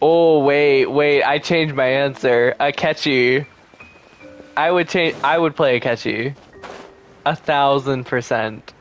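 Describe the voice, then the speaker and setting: A man livestreaming a game, chatting casually with viewers and thinking aloud in a relaxed way. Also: medium pitch, slow. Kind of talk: casual live gameplay chat with viewers. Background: video game music.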